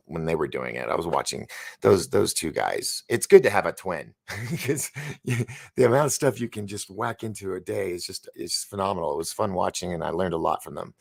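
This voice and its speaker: A man's voice: deep voice, gravelly. A man in his fifties hosting a live stream, chatting casually and rambling as he reads out viewer comments. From San Francisco. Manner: rambling, chatty, stumbles over words. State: recovering from an injury. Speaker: host of a live stream show.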